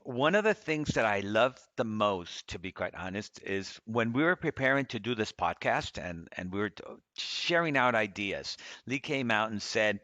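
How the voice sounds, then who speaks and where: A man's voice: mid-range voice, a bit bright, no echo, lo-fi sound. A man in his fifties from Costa Rica co-hosting a podcast, talking in an earnest, motivational way and repeating phrases for emphasis. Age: 50s. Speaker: podcast co-host. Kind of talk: casual conversational podcast.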